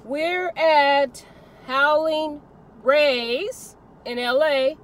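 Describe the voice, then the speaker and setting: A woman's voice: high-pitched, very slow, poor audio. A middle-aged woman reviewing food in a casual, enthusiastic chat inside a parked car at night, filmed by a dashboard camera. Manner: upbeat, enthusiastic. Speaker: food vlogger. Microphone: camera mounted on the dashboard.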